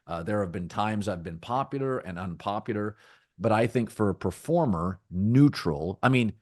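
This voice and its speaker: A middle-aged man hosting a sports talk podcast, with a deep, rich voice and a measured, thoughtful delivery that often restarts phrases. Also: animated, darkish tone, no room sound, studio quality. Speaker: podcast host.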